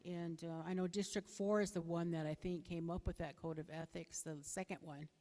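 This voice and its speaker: A middle-aged woman with a soft voice giving a hesitant, plain-spoken, unscripted answer as a candidate at a public forum. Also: on the low side, steady tone, moderate pace. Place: bingo hall, public forum over a PA. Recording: echoey hall with long reverb.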